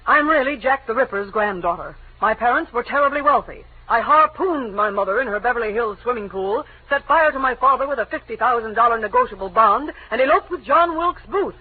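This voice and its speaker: An actress playing a pleading, evasive wife and femme fatale in a 1940s radio detective drama, with a breathy, halting, emotional delivery. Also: female voice, fairly high pitch, animated, no room sound. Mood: bitter, furious. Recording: muffled, band-limited old radio recording.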